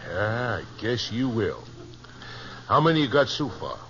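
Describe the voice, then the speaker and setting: Wary American cattle owner, low voice, lively inflection, complaining, radio western, muffled old recording. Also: male voice, unhurried, slight background noise, exaggerated voice. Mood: demanding. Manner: fretful, demanding. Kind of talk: 1950s radio western acting.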